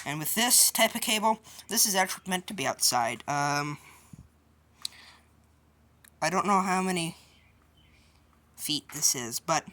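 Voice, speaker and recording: A woman's voice: fairly low pitch, sharp tone. A woman giving a casual hands-on tutorial in an informal, offhand, colloquial way. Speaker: DIY video host. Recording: clear background noise with clicks.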